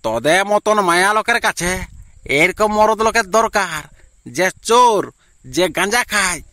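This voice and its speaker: A man's voice: high-pitched, big pitch swings, normal speed, bright, forceful tone, exaggerated voice. A man with a Purulia Bengali accent voicing a put-upon village husband in an amateur cartoon, complaining and scolding. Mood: bitter, scolding.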